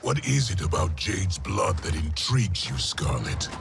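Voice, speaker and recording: Very gravelly, deep tyrant warlord. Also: male voice, big pitch swings, measured pace, over music, exaggerated voice. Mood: menacing. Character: menacing tyrant warlord emperor, imperious and curious.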